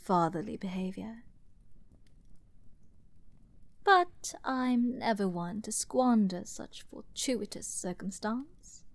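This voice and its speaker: Woman acting a slow-talking arrogant scheming princess. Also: on the high side, darkish tone, full character voice. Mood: haughty, sly. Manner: haughty, theatrical, slow and teasing. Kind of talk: dramatic fantasy audio roleplay with ASMR whispering.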